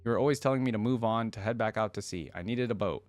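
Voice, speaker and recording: A man's voice: on the low side, fast, no echo, natural voice. Man reading as a stern, commanding priestess. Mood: blunt. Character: stern, unyielding high priestess, blunt and commanding.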